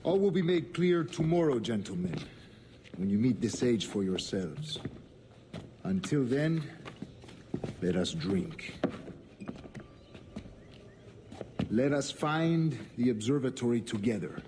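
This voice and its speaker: A man's voice: mid-range voice, very animated, measured pace, mellow, a little hiss or hum. A man playing a commanding, courteous Spanish governor and secret grandmaster in a game, formal and ceremonious with a Spanish accent. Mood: resolute. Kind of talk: solemn dramatic game acting.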